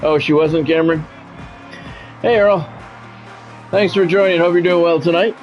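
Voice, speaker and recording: American man, slightly high voice, game streamer, announcer-like play-by-play, casual asides, dull lo-fi sound, music behind. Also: fairly even intonation, normal speed. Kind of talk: live sports-style commentary mixed with casual chat.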